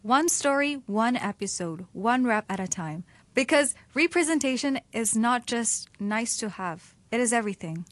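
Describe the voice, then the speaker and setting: A woman's voice: on the high side, lively intonation, normal speed, hardly any pauses, no room sound. A woman co-hosting a podcast, from Hong Kong, speaking in short, emphatic phrases. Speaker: podcast co-host. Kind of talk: interview hosting.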